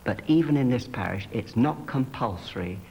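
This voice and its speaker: A man speaking reflectively as a clergyman interviewed, in a thoughtful, measured and hesitant manner. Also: mid-range voice, lively intonation, creaky, highs cut off. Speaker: clergyman who plays pantomime dame. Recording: echoing hall, noisy old archive audio.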